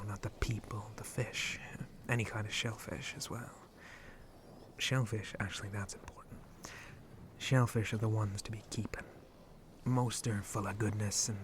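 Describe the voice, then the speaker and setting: Man voicing a slow, breathy, flirty shark pirate. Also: noisy background, natural voice. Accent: put-on pirate speech. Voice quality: breathy, frequently whispered. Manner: slow, teasing, drawling pirate talk with low chuckles. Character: flirty, roguish shark-man pirate captain with sharp teeth. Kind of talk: ASMR roleplay addressed to the listener.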